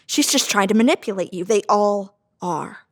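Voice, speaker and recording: A woman's voice: mid-range voice, expressive, normal speed. A woman playing a manipulative, scornful voice inside someone's head at a drama table read, insinuating and contemptuous. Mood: scornful, coaxing.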